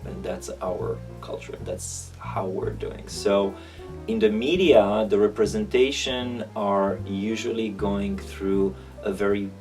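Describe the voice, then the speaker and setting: A man in his thirties from Israel speaks with an Israeli accent and a gravelly edge, working through his thoughts haltingly as an interviewee. He is picked up from a distance, with guitar music playing behind him. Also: lowish voice, a little mellow. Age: 30s. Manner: halting, thinking aloud. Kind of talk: reflective interview answers.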